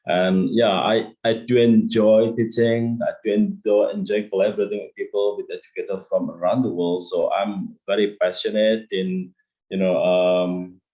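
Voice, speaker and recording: A middle-aged man with an Indonesian accent giving polite, conversational interview answers as an educator, often pausing mid-sentence. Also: low voice, level tone, muffled recording. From East Java, Indonesia. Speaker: interview guest, school deputy principal and English teacher.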